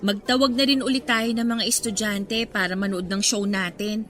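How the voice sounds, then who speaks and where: An actress playing a good-natured young college woman with a Filipino accent, gently scolding and playful, in Tagalog radio drama style. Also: medium pitch, fairly even intonation, moderate pace, natural voice. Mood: gently defensive. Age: young adult. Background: background music.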